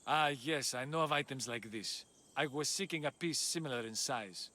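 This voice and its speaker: A man's voice: mid-range voice, normal speed, bright, forceful tone, a little hiss or hum, natural voice. A man playing a courteous, worried scholar of ancient Greece in a video game, speaking politely and earnestly. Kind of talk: video game cutscene acting.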